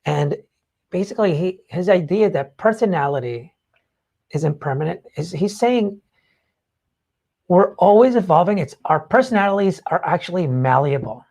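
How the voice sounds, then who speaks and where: A co-host in a casual, opinionated chat, speaking bluntly and haltingly, often checking in mid-thought and swearing casually. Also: medium pitch, animated, darkish tone. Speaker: talk show co-host.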